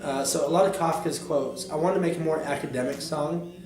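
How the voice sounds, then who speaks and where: A young man and recording artist talking casually about his album in a studio, relaxed and reflective, with lots of fillers. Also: fairly high pitch, fairly quick, a crowd in the background. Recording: echoey studio, mic a bit distant.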